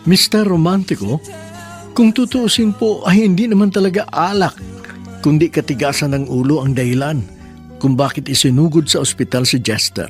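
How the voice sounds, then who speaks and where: A man hosts a radio drama in an earnest, measured voice that sits a little high for a man. He narrates formally and politely. Soft music plays beneath him.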